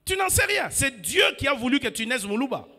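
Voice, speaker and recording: Fervent Congolese pastor preaching in a hall. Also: male voice, very high-pitched, big pitch swings, fairly quick. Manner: fervent preaching with call-and-response, swinging between quiet asides and shouts. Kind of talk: sermon. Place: church. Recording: church hall reverb.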